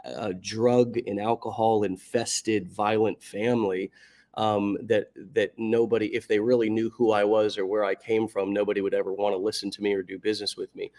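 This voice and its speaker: An American man hosting a motivational interview, talking in a reflective, halting way with frequent restarts. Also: fairly low pitch, flat delivery, a little mellow. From United States. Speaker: podcast host.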